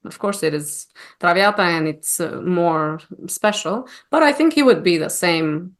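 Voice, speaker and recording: A woman from Armenia, speaking English as a guest in a casual interview and often pausing to search for words. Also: fairly low pitch, lively intonation. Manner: warm, searching for words with many fillers. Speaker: guest opera singer.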